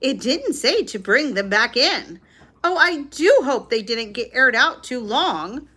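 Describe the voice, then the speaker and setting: A woman's voice: high voice, very animated, a little slow, bright, forceful tone, breathy edge, heavily acted. A woman voicing a cheerful, earnest, literal-minded housemaid who is eager to please in a children's storybook. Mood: innocent, eager.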